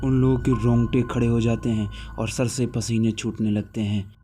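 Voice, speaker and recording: A man's voice: medium pitch, steady tone, normal speed, music in the background. A man reading a scripted horror story as its narrator.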